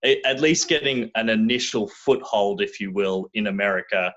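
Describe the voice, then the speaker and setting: A young Australian man with an Australian accent, telling his story in a relaxed, casual interview, often restarting his sentences. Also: lowish voice, normal speed, lo-fi sound. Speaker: guest.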